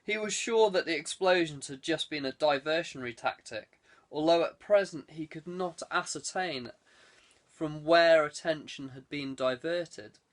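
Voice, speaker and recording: Higher-pitched man narrating a thriller. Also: a little slow. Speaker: audiobook narrator.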